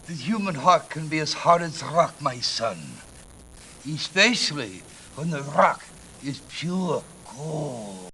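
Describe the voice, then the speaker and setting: An earnest, high-voiced mission padre. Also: male voice, expressive, slow, bright, forceful tone, slight background noise, exaggerated voice, poor audio. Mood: grave. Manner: earnest, solemn, theatrical delivery. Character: worried, kindly Spanish mission padre recounting troubles. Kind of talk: dramatic cutscene acting and storytelling.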